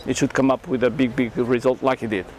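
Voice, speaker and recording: A man with a Portuguese accent and Portuguese as his first language, telling his story in halting English as an interview guest. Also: mid-range voice, little pitch movement, darkish tone, a little hiss or hum. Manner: earnest, halting non-native English with many restarts. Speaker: karate champion and entrepreneur, guest.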